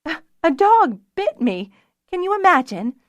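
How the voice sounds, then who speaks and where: A woman's voice: medium pitch, measured pace, no room sound, clean studio sound, close to an everyday voice. A woman voicing a distressed, wounded young female dragon rider who is emotional and apologetic, in an audiobook story. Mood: giddy, hysterical.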